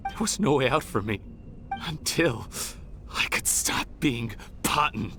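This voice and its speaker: An actor playing a bitter, self-justifying researcher turned criminal in a video game, with theatrical, sardonic delivery. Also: male voice, mid-range voice, animated, measured pace, background noise. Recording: music behind the voice.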